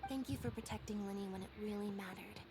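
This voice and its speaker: An actress playing a calm, soft-spoken, thoughtful young woman in video game dialogue. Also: medium pitch, fast, background noise, natural voice. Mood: sincere.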